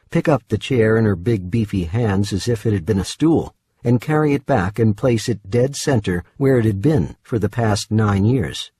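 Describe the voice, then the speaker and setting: A man's voice: on the low side, a little mellow, breathy edge, dry recording. A man narrating fiction from a script as an audiobook reader, recorded in a studio. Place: dry studio.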